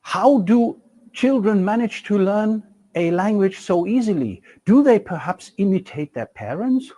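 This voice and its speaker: A middle-aged man delivers a recorded university lecture in an expressive way, tossing out rhetorical questions and leaning hard on key words. His voice sits somewhat high and rises and falls widely. The delivery is animated and emphatic. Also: measured pace.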